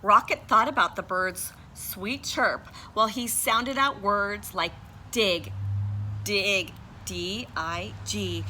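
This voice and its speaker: A woman's voice: mid-range voice, takes it slowly, bright, forceful tone, a little hiss or hum. A woman teacher reading a picture book aloud to her class, sounding out and spelling words letter by letter.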